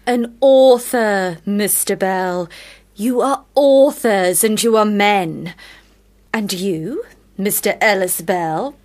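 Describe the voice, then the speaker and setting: A teasing, commanding eldest sister played by an actress in a period radio play. Her voice sits at a middle pitch. She speaks with arch formality, as if playing at being a gentleman author. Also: slow pace, lightly acted. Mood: firm, secretive. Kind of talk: period radio drama acting.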